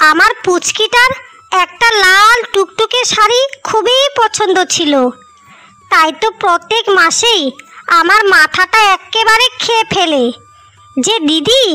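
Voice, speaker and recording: Woman playing very high, earnest young sister. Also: bright, forceful tone, full character voice. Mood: eager. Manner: earnest, pleading, emphatic. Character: devoted, stubborn orphaned young elder sister, working as maid. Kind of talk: Bengali cartoon story dialogue. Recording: music behind the voice.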